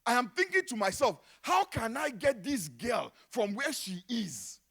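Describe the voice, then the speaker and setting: A middle-aged Nigerian man preaching a sermon, dropping to a hush and then rising to loud exclamations. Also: extremely high pitch, very animated, measured pace, husky. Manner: dramatic, swings from hushed to shouting. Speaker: pastor.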